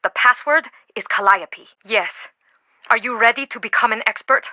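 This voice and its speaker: A woman's voice: a little high, moderate pace, bright, forceful tone, dry recording. A woman playing an exacting, impatient boss in a video game, with a clipped, commanding, tense delivery. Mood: urgent, businesslike.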